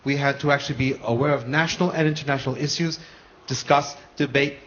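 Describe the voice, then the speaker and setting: A man, speaking in a mid-pitched voice, passionately builds up his question from the audience and restarts his sentences often. The sound is dull and broadcast-muffled, with a faint hiss. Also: fairly even intonation, fast, a bit bright. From United States. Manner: earnest, passionate, with many restarts. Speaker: polytechnic lecturer in the audience. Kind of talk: question from the floor.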